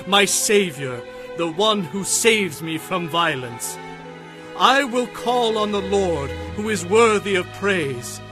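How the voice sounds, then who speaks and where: An actor playing a devout warrior king, reciting praise to God solemnly and fervently in a dramatized scripture reading. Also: sits high. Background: music.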